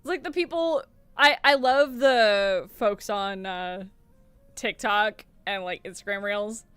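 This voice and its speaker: Young woman, voice-actor streamer, mid-low voice with a bright edge, dry close sound, animated casual chat. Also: slow, smooth, dry recording, soft background music. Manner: animated and chatty, swears freely. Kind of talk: casual stream chat answering viewer questions.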